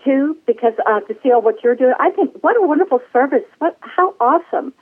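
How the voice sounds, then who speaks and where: A woman's voice: high-pitched, animated, fairly quick. A woman in her sixties from the United States, a guest talking in a bubbly, casual way and laughing often. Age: 60s. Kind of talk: casual interview. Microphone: phone call. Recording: tinny phone line.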